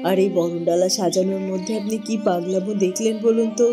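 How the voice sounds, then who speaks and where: A woman's voice: mid-range voice, fairly even intonation, brisk, music in the background, lightly acted. A woman voicing a hopeful, devoted queen and mother in a cartoon drama. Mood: hopeful, insistent. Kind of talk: dramatized cartoon story dialogue.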